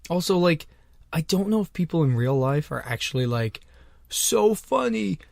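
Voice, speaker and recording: A man's voice: fairly high pitch, very animated, moderate pace, gravelly, darkish tone, no room sound, clean studio sound. A young man co-hosting a podcast, riffing in casual, profane, joking chat from a small closet. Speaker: podcast co-host.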